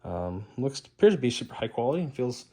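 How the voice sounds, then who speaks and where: A man's voice: medium pitch, very animated, fast, dark tone. A man giving a casual hands-on repair demonstration, informal and upbeat, talking half to himself as he works. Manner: informal, muttering to himself while working. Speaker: host of a hi-fi repair channel.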